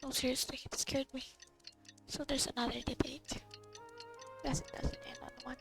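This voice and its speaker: A woman's voice: high-pitched, little pitch movement, normal speed, gravelly. A woman giving casual, reactive game commentary, reading lines aloud and murmuring informal, half-whispered asides. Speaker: gamer recording a playthrough. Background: game music.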